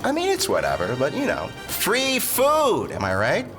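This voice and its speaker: An actress playing a sly, casual young freeloader in an audio drama, slangy and offhand. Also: female voice, lowish voice, huge pitch swings, normal speed, over music.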